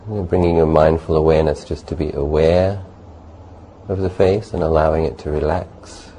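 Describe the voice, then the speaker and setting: A man leading a guided meditation as a teacher, speaking gently and unhurriedly in short phrases with long pauses. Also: extremely low pitch, steady tone, low-quality recording. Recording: faint background noise.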